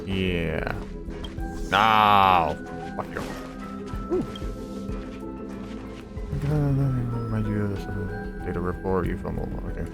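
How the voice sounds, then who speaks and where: A man's voice: deep voice, lively intonation, takes it slowly, vocal fry, long pauses. A man giving casual, blunt game commentary with swearing, muttered asides and bits of text read aloud. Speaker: gaming let's player. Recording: loud game audio underneath. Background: game music.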